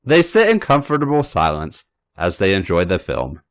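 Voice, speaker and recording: A man's voice: sits low, huge pitch swings, normal speed, a little hiss or hum. A man hosting a podcast, reading a story aloud in scripted narration. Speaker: podcast host and story reader.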